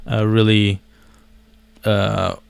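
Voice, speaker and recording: Smooth-voiced man in casual rambling chat. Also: fairly low pitch, flat delivery, drawn-out words, no room sound. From born abroad, moved to the US as a child. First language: a language other than English. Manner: informal, slangy, self-deprecating, hesitant and meandering. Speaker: vlogger talking to viewers.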